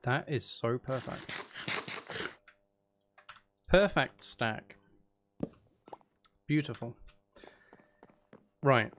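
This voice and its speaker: A man's voice: medium pitch, lively intonation, drawn-out words, pauses often, fairly bright tone, a little hiss or hum. A man hosting a casual gaming livestream, rambling and thinking aloud with playful, mock-dramatic asides. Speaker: livestream host.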